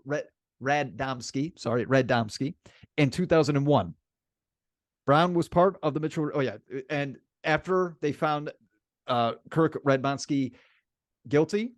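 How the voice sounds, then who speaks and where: Male sports podcast co-host, raised pitch, dry recording, emphatic and repetitive delivery, conversational. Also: dry recording. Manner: emphatic, repeats phrases for effect. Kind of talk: casual sports chat.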